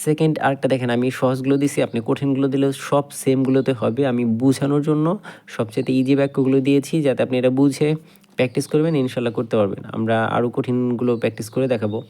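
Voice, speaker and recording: A male teacher with a Bangladeshi accent and a dark, mellow voice at a medium pitch. He explains grammar step by step, going back over points and posing questions that he answers himself. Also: fairly even intonation, rapid-fire. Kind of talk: step-by-step grammar lesson at a board.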